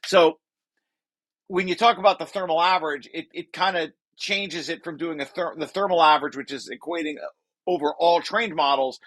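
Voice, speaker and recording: A man presenting a technical paper aloud, reading and adding explanations in a halting way with self-corrections. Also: on the high side, a bit bright, dry recording. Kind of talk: technical table read with explanatory asides.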